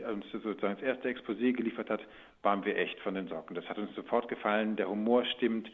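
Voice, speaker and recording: A man's voice: fairly low pitch, slightly rough. A man giving informal, rambling interview answers in a conversational way, as a guest. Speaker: radio drama producer, actor and interview guest. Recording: phone-like narrow band sound.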